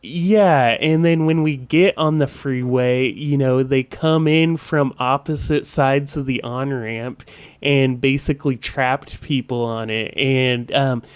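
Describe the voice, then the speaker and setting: A man hosting a podcast in casual conversation, with a smooth voice and a halting way of talking full of asides. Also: mid-range voice, steady tone, dry recording. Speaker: podcast host.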